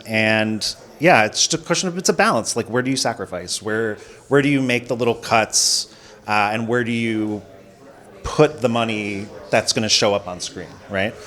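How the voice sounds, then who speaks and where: A man's voice: medium pitch, a bit bright. A middle-aged American man from Florida, a film producer speaking casually as an interview guest, often checking in with "right?". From Florida, United States. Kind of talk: casual panel talk.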